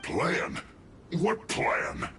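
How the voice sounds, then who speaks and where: A man's voice: medium pitch, wildly swooping pitch, very slow, sharp tone, echoey room, a little hiss or hum, heavily acted. An actor playing a hapless enemy soldier in a game, defensive and exasperated as he protests. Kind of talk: scripted game dialogue. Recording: game music behind.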